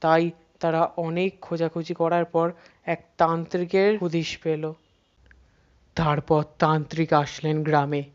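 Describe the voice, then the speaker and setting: Flat-toned horror storyteller. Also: mid-range voice, smooth, lo-fi sound. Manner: dramatic pauses and repeated words for suspense. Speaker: narrator. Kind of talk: scripted horror story narration.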